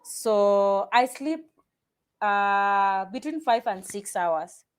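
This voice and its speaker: A woman's voice: mid-range voice, animated, very slow, smooth. A woman from Kenya, a pastor talking casually in an interview, often restarting sentences and repeating words. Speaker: pastor and guest.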